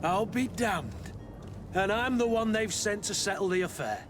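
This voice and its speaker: An actor playing a blunt, sardonic guard captain in a video game, with a grumbling, exasperated manner. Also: male voice, sits high, animated, moderate pace, noisy background. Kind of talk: scripted video game dialogue. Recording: music behind the voice.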